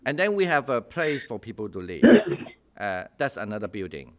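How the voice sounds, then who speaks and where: Male monk hosting a casual online chat, mixed non-native English accent, jovial, very lively intonation. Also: mid-range voice, normal speed, a crowd in the background. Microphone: video call.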